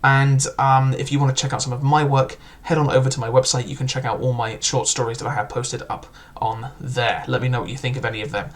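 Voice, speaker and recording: A young man talks through a book review in an unpolished, conversational way, leaning on "like" and "um" as he hunts for his point. His pitch sits in an ordinary middle range for a man. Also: flat delivery, quick pace, hardly any pauses. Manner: chatty, full of "like" and "um" fillers. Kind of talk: casual spoken book review.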